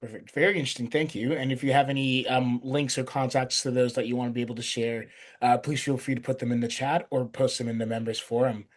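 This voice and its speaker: Brisk, courteous male facilitator on a video call, mid-pitch, moving the meeting along. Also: fairly even intonation. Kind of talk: hosting an online workshop.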